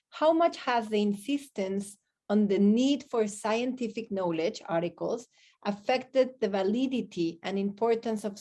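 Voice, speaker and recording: A woman's voice: medium pitch, darkish tone. A woman moderating an online discussion and putting questions to the panel.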